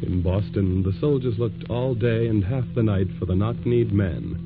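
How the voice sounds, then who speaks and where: Man as a grave, low-voiced radio storyteller. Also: normal speed, loud music behind the voice, close to an everyday voice. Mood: grave, portentous. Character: grave, dramatic storyteller of revolutionary Boston. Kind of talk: 1940s radio drama narration. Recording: muffled vintage radio recording.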